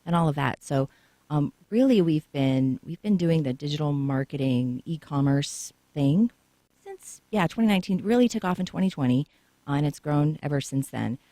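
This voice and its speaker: A woman's voice: sits low, expressive, normal speed, dark tone, no room sound. Talkative woman guest in dry studio. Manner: talkative, lengthy storytelling answers. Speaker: co-founder guest. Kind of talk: radio interview answers. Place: radio studio.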